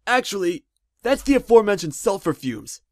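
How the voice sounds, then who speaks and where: High-voiced slacker goblin teen, played by a man, rebellious, animated and creaky. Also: fairly quick, dry recording, clean studio sound. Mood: matter-of-fact. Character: laid-back rebellious teenage male goblin. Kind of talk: cartoon fan dub acting.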